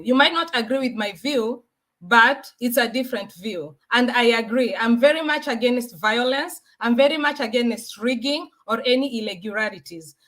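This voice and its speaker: Female panelist, Kenyan accent, fairly high voice, earnest debate delivery that circles back on points. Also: a bit bright. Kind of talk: political debate.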